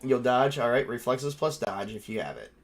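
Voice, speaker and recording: A man casually narrating a tabletop role-playing game as game master, excitable and quick to swear. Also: mid-range voice, a crowd in the background.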